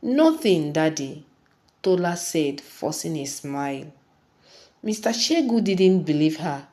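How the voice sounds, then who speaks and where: Slow, expressive woman storyteller. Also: sits low. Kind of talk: scripted folktale narration.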